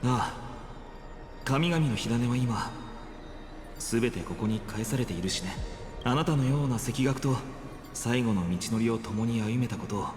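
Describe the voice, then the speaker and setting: An actor voicing a solemn, courteous fantasy game character with formal phrasing. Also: male voice, mid-range voice, fast, pauses often, a little mellow, close to an everyday voice. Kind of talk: Japanese video game voice acting. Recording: echoey reverberant game audio with music.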